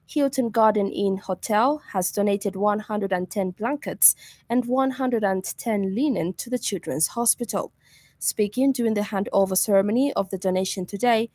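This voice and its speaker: A woman news reader with a Zambian accent delivers a scripted radio bulletin. Her voice sits at a middle pitch, and the studio sound is close and dry. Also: darkish tone, no room sound.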